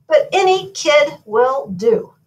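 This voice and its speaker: A woman's voice: high-pitched, big pitch swings, slow pace, pitched above the performer's own voice, full character voice. Woman playing an earnest, hapless storybook king. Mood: hopeful. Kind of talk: storybook read-aloud.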